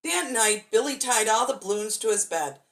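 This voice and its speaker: A woman's voice: a little high, expressive, normal speed, a bit bright. A woman storyteller reading a children's bedtime story expressively, drawing out key words for dramatic emphasis.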